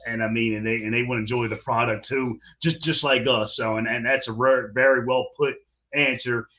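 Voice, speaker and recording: Bright-voiced male wrestling show host. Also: medium pitch, highs cut off. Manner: repetitive, stumbling, laughs often. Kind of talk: casual interview hosting.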